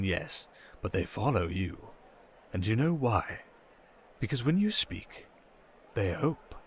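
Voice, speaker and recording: Man playing a suave, low-voiced criminal mastermind. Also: a little hiss or hum. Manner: silky, theatrical, deliberate, teasing with dramatic pauses. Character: suave, cunning male criminal mastermind villain, seductive and teasing. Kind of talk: ASMR roleplay, intimate theatrical monologue.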